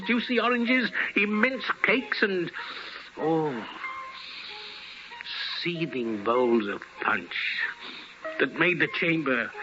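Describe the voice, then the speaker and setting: A man narrating a Christmas tale as a theatrical 1950s radio storyteller. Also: high voice. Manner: theatrical, relishing lists. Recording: dull old radio recording with music.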